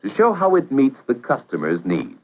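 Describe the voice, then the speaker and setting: A man narrating a 1950s educational film in a measured, instructive way, posing questions to the viewer. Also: medium pitch, huge pitch swings. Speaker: narrator of vocational training film. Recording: dull vintage 1950s film soundtrack.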